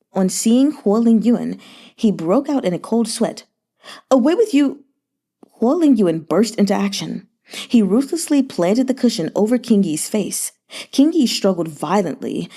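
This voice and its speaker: A woman's voice: mid-range voice, mellow. A woman reading a novel aloud expressively, sometimes adding brief asides of her own. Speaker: audiobook reader.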